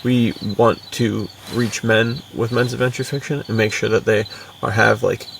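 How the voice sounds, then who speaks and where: A man's voice: lowish voice, steady tone, normal speed, gravelly, fairly bright tone, distant mic, slight background noise, low-quality recording. An American man co-hosting a podcast in casual, informal chat, using plenty of laid-back slang. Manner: informal, bro-ish, frequent "dude" and "like". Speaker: podcast co-host and adventure fiction author.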